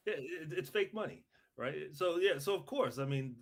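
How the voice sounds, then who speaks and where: A man's voice: on the high side, expressive, moderate pace. A man from Trinidad co-hosting a podcast, talking casually and musing informally. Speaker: podcast co-host. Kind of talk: casual chat.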